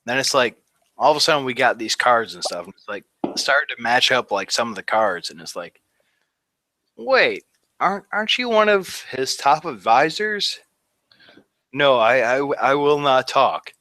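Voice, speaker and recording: A man's voice: mid-range voice, sing-song, unhurried, bright, forceful tone, no room sound. A man in his thirties, a veteran, telling his war story in a rambling, casual way with lots of fillers. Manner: rambling, many "like" fillers, laughs at grim moments. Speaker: Army veteran guest. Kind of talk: casual interview talk.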